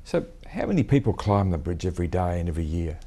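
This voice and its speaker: Older interviewer, husky and lively. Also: male voice, low-pitched, fast talker. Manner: probing, conversational questions with tag questions. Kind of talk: business interview. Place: high-rise office meeting room. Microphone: lapel mic.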